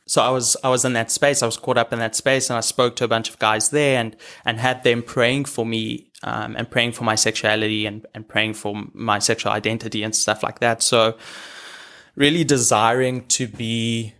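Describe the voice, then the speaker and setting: A man's voice: mid-range voice, flat delivery, normal speed, a bit bright. A young South African man, a native Afrikaans speaker, talking in a reflective, hesitant way as a guest on a video call. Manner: reflective, many ums and restarts. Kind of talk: casual chat.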